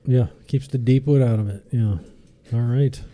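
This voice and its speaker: Mellow, dark-voiced man hosting a podcast. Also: medium pitch, normal speed, faint music behind. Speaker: podcast host. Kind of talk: casual chat.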